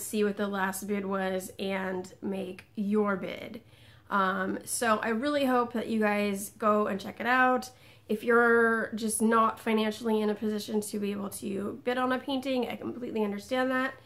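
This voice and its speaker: A woman in her thirties chats straight to camera from a couch in her living room. Her voice sits at an ordinary pitch, and she talks in a relaxed, rambling way, filling pauses with ums. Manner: chatty, frequent ums, animated hand gestures. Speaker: vlogger. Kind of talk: casual direct-to-camera vlog.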